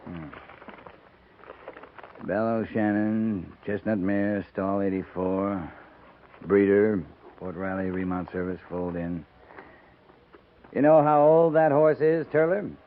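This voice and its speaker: A man acting a measured, authoritative American cavalry captain who speaks deliberately and tersely in a 1950s radio drama. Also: lowish voice, a little hiss or hum. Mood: calm, questioning. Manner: deliberate, terse, thoughtful pauses. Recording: tinny narrow-band 1950s radio recording.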